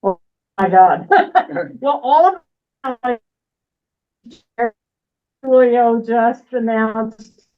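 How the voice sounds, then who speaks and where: A woman's voice: a little high, lively intonation, very slow, long pauses. A woman giving a political action report at a community meeting, laughing easily. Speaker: political action team lead. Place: meeting room. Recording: tinny, phone-like audio.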